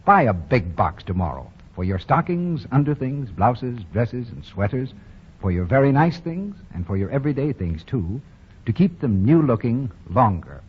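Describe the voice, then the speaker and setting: A male announcer in a 1940s radio commercial, selling with a lively, sing-song rise and fall and a slightly husky voice. The sound is dull and muffled like an old broadcast. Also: mid-range voice, normal speed. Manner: bright, persuasive sales delivery.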